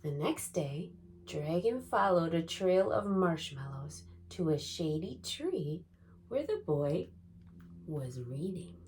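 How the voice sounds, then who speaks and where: A woman reading a picture book aloud to young children as an expressive, dramatic storyteller, swinging between hushed and booming delivery. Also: slow, a little hiss or hum, poor audio.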